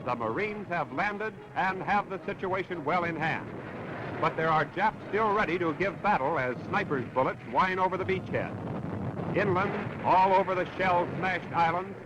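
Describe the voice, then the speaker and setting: A man's voice: sits high, moderate pace. An American man reading a scripted wartime newsreel in a dramatic, declamatory 1940s style. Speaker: newsreel narrator. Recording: dull, very noisy 1940s newsreel soundtrack.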